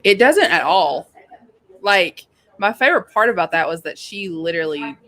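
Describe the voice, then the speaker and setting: A woman's voice: on the low side, lively intonation, moderate pace, a bit bright, rough recording. A woman co-hosting a live readalong show, chatting about books in an informal, slangy, emphatic way. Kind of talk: casual book chat.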